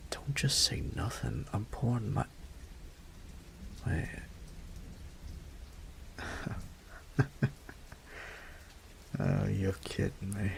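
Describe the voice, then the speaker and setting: Man acting a sweet young suitor, very creaky low murmur, breathy, intimate, hesitant, romantic audio drama. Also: a little hiss or hum, heavily acted, rough recording. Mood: wry, affectionate. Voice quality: breathy, whispery. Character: sweet lovestruck young man confessing to his roommate. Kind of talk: soft romantic audio roleplay.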